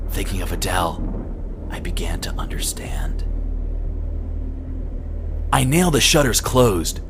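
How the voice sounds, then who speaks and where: A man reading a horror story as a dramatic narrator, in a grave, deliberate, suspenseful way. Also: lowish voice, a bit bright, a little hiss or hum.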